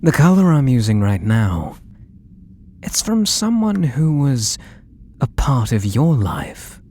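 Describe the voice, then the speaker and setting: A British man voicing an obsessed, possessive artist and menacingly tender lover in a breathy, theatrical ASMR roleplay, drifting into whispers. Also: mid-range voice, big pitch swings, takes it slowly, a little mellow, full character voice. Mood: menacing, teasing. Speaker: audio roleplay voice actor.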